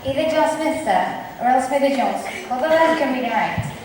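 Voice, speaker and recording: A woman's voice: high voice, expressive, normal speed. A woman playing a cheeky, lazy rogue in an amateur student stage production of simplified Shakespeare. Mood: cheeky, eager. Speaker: student actor in drama club play. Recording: echoey hall from far off, faint noise.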